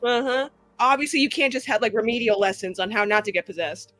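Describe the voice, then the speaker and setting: Woman hanging out after a game, bright punchy voice, jokey and emphatic, casual. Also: a little high, fast, no room sound. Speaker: tabletop roleplay player. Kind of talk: casual group chat.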